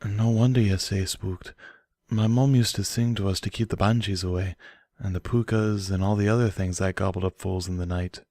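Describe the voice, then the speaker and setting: A man's voice: deep voice, fairly even intonation, moderate pace, mellow, no echo, clean studio sound. Man voicing a tender, folksy female pony. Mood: sad, tender. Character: sad, affectionate female cartoon pony with banshee lore.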